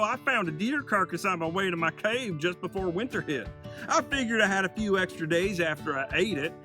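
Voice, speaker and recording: A man's voice: high voice, voice pushed up. A man voicing a boastful, starry-eyed younger brother bear in a children's story, with a folksy, eager manner. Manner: folksy, drawn-out exclamations. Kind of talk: storybook character voice.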